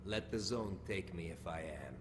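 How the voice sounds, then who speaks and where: A grim, world-weary wasteland scavenger from a video game, acted by a man. His voice sits low, and he speaks tersely and fatalistically over clearly audible background noise. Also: normal speed, lightly acted. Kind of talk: video game cutscene acting.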